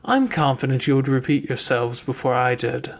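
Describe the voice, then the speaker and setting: An audiobook character played by a male reader: a stern mage who speaks in a measured, formal way. He is cold, controlled and accusing, and never yields. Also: mid-range voice, gravelly, close to an everyday voice. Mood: hard, formal.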